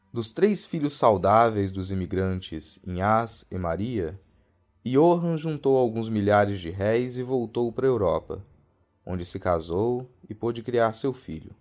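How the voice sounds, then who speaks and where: A Brazilian man narrating a scripted horror story as a podcast storyteller, in a measured, literary, suspenseful manner. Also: lowish voice, a little mellow. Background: music.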